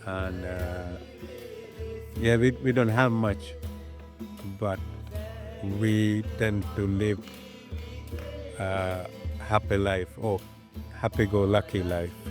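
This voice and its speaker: Sri lankan guy in his 50s telling his memories, slow talker w lots of pauses, faint music behind. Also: deep voice, flat delivery. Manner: halting, pausing mid-phrase as he searches for words. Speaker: interviewee. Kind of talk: personal reminiscence in an interview.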